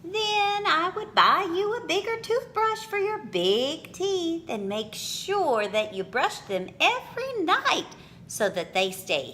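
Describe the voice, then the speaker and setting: A woman reading a picture book aloud for children in an expressive, theatrical manner with drawn-out phrasing. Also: high-pitched, a little hiss or hum.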